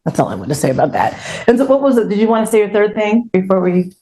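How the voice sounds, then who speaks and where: A woman's voice: medium pitch, very animated, rapid-fire, dark tone. Female panel host, middle register, casual and emphatic, leaning on repeated phrases.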